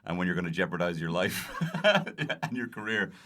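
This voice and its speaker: A man's voice: deep voice, brisk, a bit bright. A man chatting casually as a comedian guest on a podcast, rambling and joking at his own expense. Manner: rambling, jokey, self-deprecating. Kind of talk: casual comic conversation.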